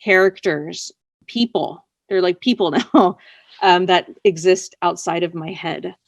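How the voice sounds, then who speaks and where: A woman's voice: lowish voice, measured pace, dry recording. A woman writer speaking casually as an interview guest, chatty, often laughing as she talks. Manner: chatty, laughs while talking.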